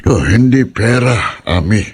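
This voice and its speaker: A man acting an earnest, principled older ritualist in a horror radio drama, speaking in a husky, pleading manner. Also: on the low side, lively intonation, a little slow, a little mellow. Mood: reproachful.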